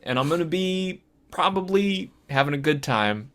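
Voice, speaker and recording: A man's voice: on the high side, animated, normal speed, a bit bright. A man co-hosting a casual film discussion podcast, talking in a hesitant, meandering way. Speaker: podcast co-host.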